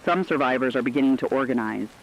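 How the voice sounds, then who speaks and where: A man's voice: medium pitch, fast, slight background noise, low-quality recording. A man narrating a scripted emergency radio broadcast as a newscaster, with grave, solemn delivery. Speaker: radio newscaster in a horror story.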